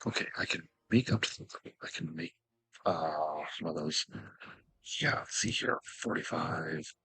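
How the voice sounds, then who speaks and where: A man giving casual gameplay commentary as a video host, thinking aloud in a halting mutter to himself. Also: extremely low pitch, expressive, bright, forceful tone, husky, no echo, low-quality recording.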